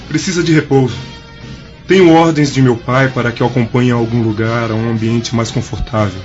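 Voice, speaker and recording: An actor playing a dutiful young Roman prince, respectful, formal and solicitous, in a radio drama. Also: male voice, medium pitch, fairly even intonation, a little fast, a little mellow, close to an everyday voice. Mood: obedient, attentive. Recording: dull lo-fi radio drama with music.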